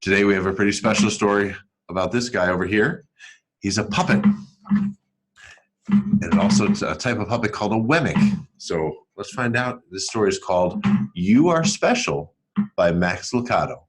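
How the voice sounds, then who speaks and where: Man, children's story read-aloud, educator, expressive, deliberate, swooping animated pitch. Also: husky, rough recording. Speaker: school educator reading aloud to pupils.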